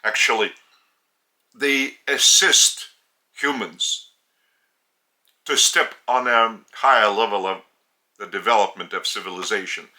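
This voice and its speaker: A man with a Lithuanian accent, a native Lithuanian speaker, giving a religious teaching talk in deliberate, halting short phrases. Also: mid-range voice, bright, forceful tone. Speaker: spiritual teacher.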